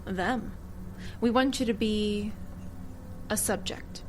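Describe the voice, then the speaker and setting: A woman's voice: medium pitch, slow pace, natural voice, low-quality recording. Cool, sardonic female government agent acted by a woman. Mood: matter-of-fact, persuasive. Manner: controlled, sardonic, evasive. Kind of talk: audio drama acting. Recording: clear ambient noise behind dialogue.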